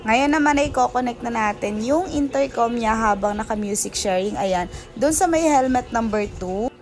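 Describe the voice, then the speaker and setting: A woman's voice: fairly high pitch, normal speed, darkish tone. A Filipino woman giving a casual hands-on product tutorial in Taglish, talking informally and directly to her viewers.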